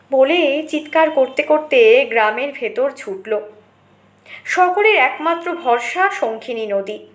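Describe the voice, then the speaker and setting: Woman, high-pitched, audiobook narrator, dramatic storytelling, expressive delivery, echoing room. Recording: roomy, echoing voice recording.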